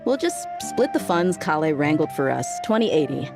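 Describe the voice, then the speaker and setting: Laid-back desert mercenary with a low voice. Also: female voice, moderate pace, music in the background. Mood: easygoing. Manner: relaxed, casual and joking. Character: easygoing confident female desert mercenary. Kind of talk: video game dub.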